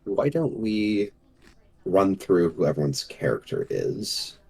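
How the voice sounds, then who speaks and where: Husky low-voiced man running the game. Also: very animated, slow, mellow. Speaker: game master. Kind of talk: casual tabletop game session.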